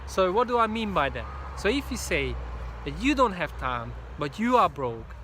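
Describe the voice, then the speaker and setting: Emphatic Hungarian-accented man, slightly high voice. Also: very animated, normal speed, fairly bright tone, a little hiss or hum. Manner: emphatic, repetitive, exhorting, preacher-like drive. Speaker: motivational speaker. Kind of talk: motivational pep talk to camera.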